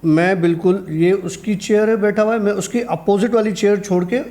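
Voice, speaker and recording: Mellow, higher-pitched man telling a personal tale. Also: steady tone, moderate pace. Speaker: guest storyteller. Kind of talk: conversational personal horror anecdote.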